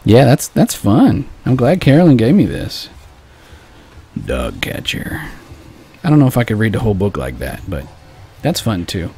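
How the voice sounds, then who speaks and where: A man's voice: lowish voice, wildly swooping pitch, normal speed, pauses often, mellow. A man hosting a loose, rambling late-night show, swearing casually as he chats and reads a novel aloud. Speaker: podcast host. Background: faint background music.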